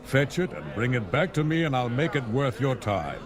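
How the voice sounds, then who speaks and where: A man's voice: medium pitch, very animated, normal speed, crowd noise, lightly acted. A man playing a shady, conspiratorial townsman in a video game. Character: shady townsman quietly offering an illicit errand. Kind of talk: video game character dialogue.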